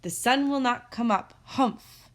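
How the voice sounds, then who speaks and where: Lady reading as a grumpy stubborn storybook bear, grumbling and growling, slow and heavy. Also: on the high side. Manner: grumbling chant, growling threats.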